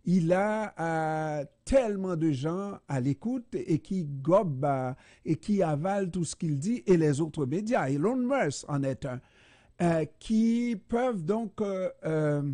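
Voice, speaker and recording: Slow, hesitant man hosting radio. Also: fairly high pitch, expressive, mellow, no echo, studio quality. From Haiti. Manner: slow, deliberate, many hesitations. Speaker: radio host. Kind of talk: radio interview.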